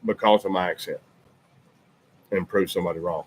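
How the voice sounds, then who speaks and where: Deep-voiced Alabama man, casual chat. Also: steady tone, fairly quick, breathy edge. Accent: Deep South, Alabama. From Birmingham, Alabama. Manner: emphatic, repeats his points. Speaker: podcast co-host.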